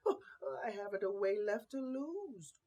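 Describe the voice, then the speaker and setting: A man voicing a sad, hesitant old female cat in a storybook tale, with a slow, mournful, sighing delivery. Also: sits high, lively intonation, dark tone, pitched above the performer's own voice. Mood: mournful, weary.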